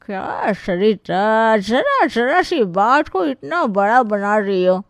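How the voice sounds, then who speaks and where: Brash storybook auntie, woman performer, high voice, dismissive and quarrelsome, loud drawn-out cries, dry recording. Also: sing-song, dry recording, full character voice. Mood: brazen, dismissive. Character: brash, quarrelsome tobacco-chewing aunt, shameless and dismissive.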